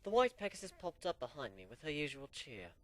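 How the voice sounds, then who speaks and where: A man narrating a fan story in the first person as an amateur dramatic reading, animated and sinking low for suspense. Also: fairly quick, no echo. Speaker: story reader.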